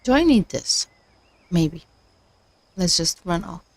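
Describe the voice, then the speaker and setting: A woman's voice: fairly low pitch, sing-song, takes it slowly, gravelly, a little mellow, no echo. A woman hosting a casual livestream, thinking aloud with many fillers and restarts while she is losing her voice. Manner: hesitant, many fillers and restarts, talks to herself. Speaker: livestream host learning machine learning.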